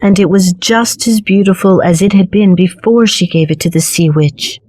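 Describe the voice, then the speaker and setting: A woman reading a children's storybook aloud in a slow, clear and even narration. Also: medium pitch.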